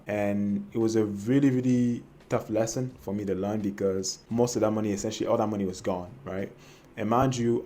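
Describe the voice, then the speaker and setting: A man's voice: fairly low pitch, dark tone, a little hiss or hum. A young man from Cameroon telling his personal trading story to camera, hesitant and full of fillers and restarts. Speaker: trading vlogger.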